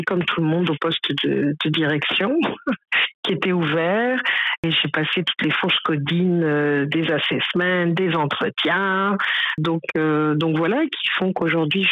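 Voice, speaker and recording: A woman from Burundi answers interview questions about her work, pausing often with fillers and restarting her sentences. Her voice comes through slightly muffled and dry, as if over a remote line. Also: lowish voice, steady tone, a bit bright, no room sound. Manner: hesitant, many fillers and restarts. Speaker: guest, director of a cultural organization.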